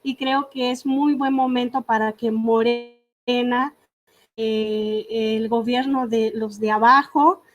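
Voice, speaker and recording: A woman's voice: on the high side, fairly even intonation, slow, highs cut off, faint music behind. A woman whose first language is Purépecha, from Michoacán in Mexico, giving an earnest talk on an online panel with many hesitations and fillers. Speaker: invited speaker.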